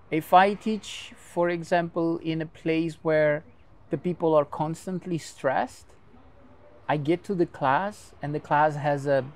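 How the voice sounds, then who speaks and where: A man's voice: on the high side, darkish tone. A man, a dance teacher whose first language is a Portuguese creole, giving long thoughtful interview answers in English and explaining with everyday comparisons.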